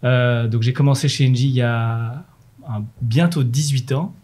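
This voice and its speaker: A middle-aged man, a native French speaker, answering interview questions about his work as a manager in renewable energy, in a measured, explanatory way with many fillers and restarts. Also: mid-range voice, fairly even intonation, darkish tone.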